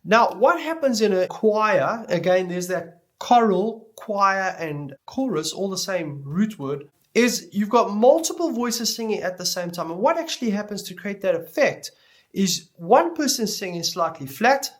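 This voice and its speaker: A man giving an explanatory tutorial lecture as an instructor, speaking deliberately and stressing key words as he goes. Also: high-pitched, lively intonation. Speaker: music production instructor.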